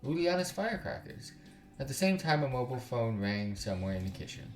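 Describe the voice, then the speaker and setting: A man's voice: mid-range voice, big pitch swings, a little fast, echoey room, faint music behind. A man hosting a game stream, mixing blunt, slangy commentary with reading the game's text aloud.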